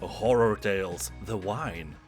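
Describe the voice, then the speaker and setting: A man's voice: low-pitched, slow. A man hosting a game review channel, reading a scripted voice-over with sarcastic joking asides. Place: voice-over, not on location. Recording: voice-over with loud background music.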